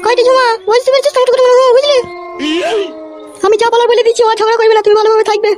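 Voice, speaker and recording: A high-pitched scolding cartoon wife. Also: female voice, fairly even intonation, very fast, background noise. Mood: bossy. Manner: bossy, sharp-tongued. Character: scolding, bitter, scheming village wife and mother. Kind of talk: comic cartoon dialogue.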